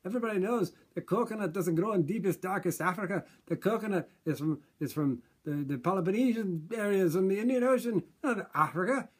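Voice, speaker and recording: An older man putting on a cranky old grandfather, shrill and indignant, barking his complaints. The voice sits well above his own and keeps a husky grain. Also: expressive, a little fast, hardly any pauses. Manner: indignant, shouting. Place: small home room indoors. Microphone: webcam at desk distance.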